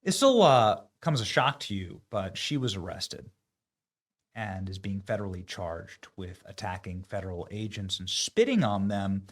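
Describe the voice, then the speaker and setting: A man's voice: low voice, expressive, normal speed. A man hosting online political commentary, talking in a mocking, sarcastic and emphatic way.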